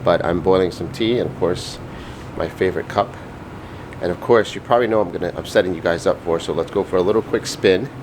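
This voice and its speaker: A man's voice: low voice, fairly quick. A man chatting casually to viewers as a vlogger, in a rambling way, recorded in a noisy home kitchen.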